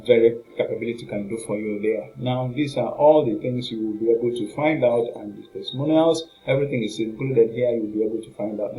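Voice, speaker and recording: A man giving an informal, halting and repetitive screen walkthrough as a product reviewer. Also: mid-range voice, mellow, rough recording. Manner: halting, repetitive, rambling narration with filler phrases.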